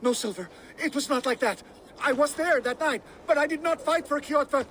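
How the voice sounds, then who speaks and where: A sly, wheedling merchant. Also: male voice, very high-pitched, normal speed, fairly bright tone, slight background noise. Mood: pleading. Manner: wheedling, pleading salesman patter. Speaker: merchant character. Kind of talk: video game cutscene acting.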